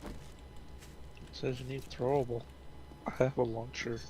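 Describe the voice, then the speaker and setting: A man's voice: medium pitch, level tone, measured pace, dark tone, a little hiss or hum. A man chatting casually with teammates while he plays a multiplayer game. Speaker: player on the stream. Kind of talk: casual gaming chat.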